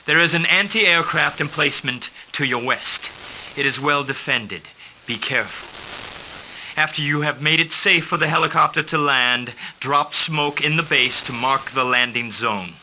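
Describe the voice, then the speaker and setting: An actor playing a calm, formal male mercenary liaison who briefs a commander on missions in a video game. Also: on the high side, moderate pace, slight background noise, rough recording. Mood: composed. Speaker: mission handler.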